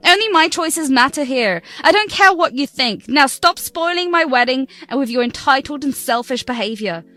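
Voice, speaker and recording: A woman's voice: sits high, very animated, brisk, soft background music. Woman voicing a spoiled, scornful young bride. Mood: angry. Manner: scornful, sharp.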